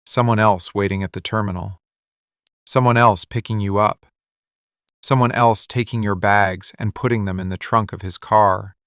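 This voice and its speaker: A man playing a possessive, brooding mafia boss lover in a scripted boyfriend ASMR roleplay, menacing and commanding, with deliberate dramatic pauses. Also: lowish voice, steady tone, fairly bright tone, clear, resonant tone, no room sound, clean studio sound. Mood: torn, brooding, then anguished, jealous.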